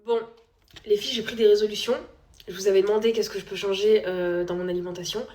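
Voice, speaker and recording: Young mum vlogger, chatty and candid, middle pitch, loose filler-heavy delivery. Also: female voice, flat delivery, quick pace, a little mellow. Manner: chatty and candid, many fillers, drops to a whisper at times. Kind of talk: casual chat to followers.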